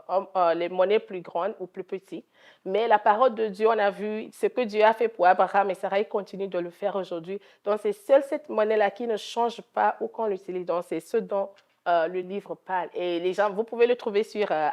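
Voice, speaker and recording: A woman's voice: medium pitch, moderate pace, a little mellow. A woman from Togo with a Togolese French accent, answering interview questions in an earnest, devout way as a gospel singer.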